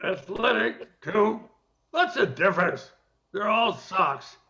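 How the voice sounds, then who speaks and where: Radio-play captain man acting: older, gruff, demanding, short on patience. High-pitched, sharp-edged delivery. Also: expressive, slow pace, full character voice. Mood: impatient. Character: rough, exacting, impatient older male police captain. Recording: dull, lo-fi sound.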